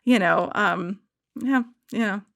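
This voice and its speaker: A woman chatting casually as a podcast guest, telling stories in an animated, self-mocking way full of filler "like"s. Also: mid-range voice, slow, creaky, no echo, clean studio sound. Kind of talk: casual chat, anecdotes.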